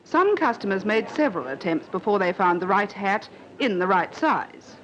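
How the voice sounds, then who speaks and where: A woman's voice: mid-range voice, big pitch swings, normal speed. A woman reading scripted narration for a 1950s newsreel. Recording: dull 1950s newsreel with faint noise.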